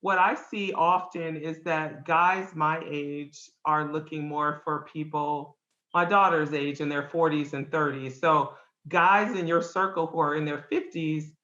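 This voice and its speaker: Co-host: woman in her fifties, bright tone, roomy dull recording. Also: low-pitched, steady tone, unhurried. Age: 50s. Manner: earnest, questioning. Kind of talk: podcast interview question.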